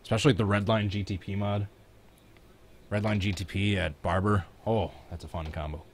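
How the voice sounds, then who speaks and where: A man's voice: fairly low pitch, steady tone, moderate pace, slight background noise. A man with a Midwestern American accent, chatting casually and reacting live to a race as a stream host.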